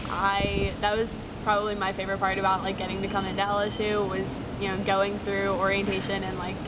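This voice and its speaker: A young woman, a university student, gives casual, somewhat hesitant interview answers. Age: young adult. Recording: very loud rumbling vehicle noise.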